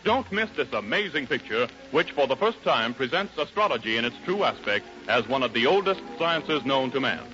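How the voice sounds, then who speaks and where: A man's voice: mid-range voice, expressive, normal speed, hardly any pauses, sharp tone, faint music behind. An American man announcing a 1930s radio commercial in an emphatic, polished delivery. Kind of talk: 1930s radio advertising pitch. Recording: dull old 1930s radio broadcast recording.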